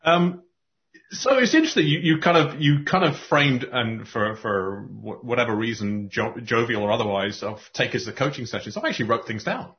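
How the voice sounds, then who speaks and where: A man hosting a podcast conversation, talking in animated spurts and often restarting his sentences. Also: medium pitch, moderate pace. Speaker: podcast host and coach. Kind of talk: casual conversation. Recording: muffled lo-fi audio.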